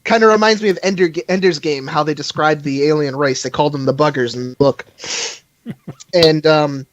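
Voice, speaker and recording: A man with a slightly high voice talks through a casual fan podcast in a halting, rambling way. The sound is dry and a little dull, close and without room. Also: dry recording. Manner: halting, rambling, chuckles often. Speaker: live stream host.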